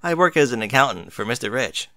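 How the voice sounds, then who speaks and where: A man's voice: mid-range voice, animated, quick pace, pushed and bright, no echo. A man voicing a smitten, distracted male unicorn accountant in an offhand, subdued storybook character voice. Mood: bashful. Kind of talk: fan fiction storybook character voice.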